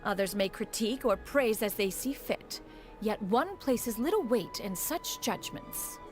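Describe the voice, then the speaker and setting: An actress playing a haughty, ancient immortal mentor in a video game, with pompous archaic speech. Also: female voice, medium pitch, expressive, measured pace, natural voice. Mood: proud. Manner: pompous, archaic speech, refers to self as "one". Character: haughty ancient adeptus bird in woman's form, imperious mentor. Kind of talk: scripted video game dialogue. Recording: music playing behind.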